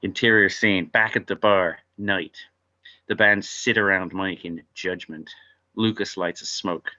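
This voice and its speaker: A man's voice: deep voice, fairly even intonation, a little slow, sharp tone. A man reading out stage directions as narrator at a script table read, on a lo-fi recording.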